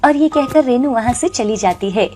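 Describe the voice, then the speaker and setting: A woman's voice: fairly high pitch, expressive, moderate pace, mellow, slight background noise. A woman narrating a scripted moral story.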